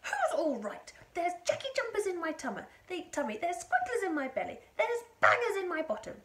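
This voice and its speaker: Shrieking, high-pitched old hag. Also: female voice, huge pitch swings, a little fast, a bit bright, heavily acted. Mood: outraged, screaming. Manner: snappish, bossy, screeching complaints. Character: cantankerous, shrieking, wrinkled old hag of a grandmother. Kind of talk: theatrical children's storybook character voice.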